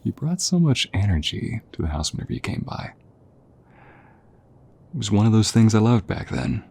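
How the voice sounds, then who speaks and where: Man acting a deep, husky young soldier, affectionate. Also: big pitch swings, normal speed, exaggerated voice. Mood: warm, reminiscing. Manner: gentle, affectionate, hesitant, chuckling conversational delivery. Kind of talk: intimate audio roleplay acting.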